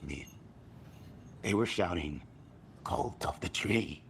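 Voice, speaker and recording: A rattled man in a video game drama, with a very deep voice. He speaks slowly and haltingly, in colloquial bursts that swing up and down dramatically. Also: lively intonation, creaky, a bit bright, a little hiss or hum, heavily acted. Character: rattled male tourist recounting a frightening attack. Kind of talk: naturalistic video game acting.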